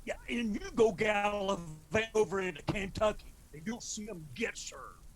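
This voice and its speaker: An actor playing a weary, cautious Appalachian clan father in a radio drama, deliberate and commanding. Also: sits high, faint music behind. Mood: wary, stern.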